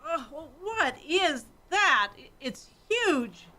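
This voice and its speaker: A woman playing an anxious, curious space commander in a sci-fi radio play, excitable and questioning. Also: high voice, very slow, background noise, exaggerated voice. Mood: alarmed.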